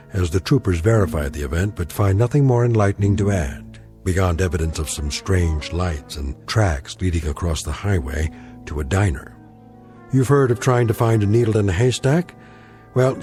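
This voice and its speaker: Man, low pitch, dark relaxed tone, portentous suspense narration, measured, radio drama.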